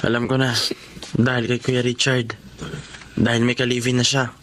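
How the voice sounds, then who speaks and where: Male actor, younger brother, medium pitch, concerned, drama delivery, clear background noise. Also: completely flat delivery, normal speed, a bit bright, close to an everyday voice. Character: concerned, perceptive younger brother of a heartbroken woman. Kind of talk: Filipino radio-style drama acting.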